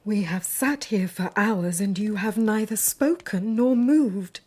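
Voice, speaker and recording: An actress playing a devoted, worried young bride with a soft, breathy, tender delivery in a dramatised audiobook. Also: female voice, medium pitch, slow pace. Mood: worried tenderness. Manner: tender, concerned.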